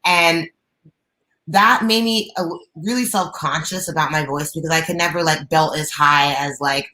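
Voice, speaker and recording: A young woman with a low, raspy alto voice, a guest singer talking openly on a video call from her living room, with many fillers and restarts. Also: normal speed, bright, forceful tone, roomy sound. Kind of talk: casual interview chat. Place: bright living room at home.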